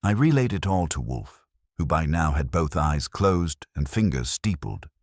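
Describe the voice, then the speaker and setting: A man's voice: rumbling low, big pitch swings, unhurried, dry recording, studio quality. A man reading a scripted first-person detective story as its narrator.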